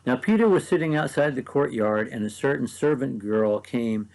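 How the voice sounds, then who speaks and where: Gravelly-voiced guy with an ohio valley accent, preacher doing a quick bible talk, earnest and chatty with lots of ums. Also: mid-range voice, expressive, darkish tone, low-quality recording. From mid-Ohio Valley, USA. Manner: conversational, earnest, with frequent ums. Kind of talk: short devotional Bible teaching.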